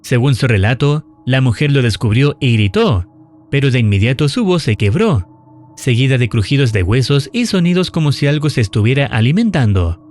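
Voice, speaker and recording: A man giving a scripted horror recap narration in a measured, serious storytelling manner. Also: medium pitch, animated, darkish tone.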